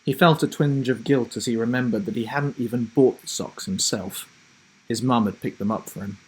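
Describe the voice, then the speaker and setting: A man chatting and reading a story to children as host of a live broadcast, speaking deliberately in short emphatic phrases. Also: mid-range voice, slightly rough, low-quality recording. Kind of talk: friendly instructional chat and storybook reading for children.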